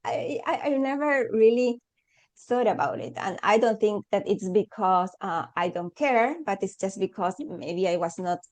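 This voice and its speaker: A woman's voice: mid-range voice, animated, normal speed. A woman hosting a casual interview, eager and complimentary, with hesitant restarts. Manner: warmly enthusiastic, with hesitant restarts. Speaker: host. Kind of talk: conversational interview.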